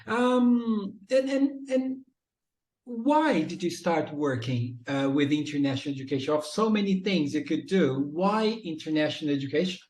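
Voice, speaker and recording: A man's voice: on the high side, lively intonation, moderate pace, a little mellow, reverberant. A man hosting an interview, encouraging his guest with frequent murmurs of agreement and follow-up questions. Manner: encouraging, frequent backchannel "mm-hmm". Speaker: interview host. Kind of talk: casual video-call interview.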